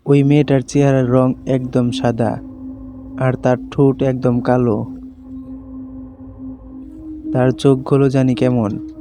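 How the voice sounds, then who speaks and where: A man narrating a scripted horror story for a cartoon. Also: medium pitch.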